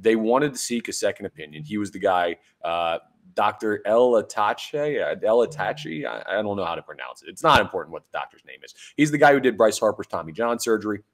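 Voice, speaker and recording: A young man hosting a solo sports podcast, his voice hoarse and deeper after a night of drinking, chatting sarcastically with rambling fillers. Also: lively intonation, fairly quick. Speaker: podcast host. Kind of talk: casual solo sports talk.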